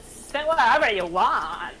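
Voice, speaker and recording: A woman playing a flirty, blunt diner waitress in a script reading, with a flippant, drawn-out delivery. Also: on the high side, big pitch swings, sharp tone, slight background noise, full character voice, rough recording. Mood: curt. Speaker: actor at a table reading.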